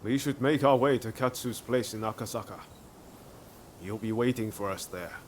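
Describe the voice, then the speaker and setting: A man plays an idealistic male samurai in a game cutscene. His mid-pitched voice is earnest and informal, reflective in a natural way. There is faint noise of the surroundings behind him. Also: moderate pace, close to an everyday voice. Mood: resolute, reflective.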